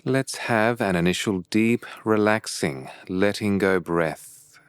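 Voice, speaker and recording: A man leading a guided meditation as a teacher, with calm, measured and deliberate phrasing broken by pauses. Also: lowish voice, smooth, dry recording.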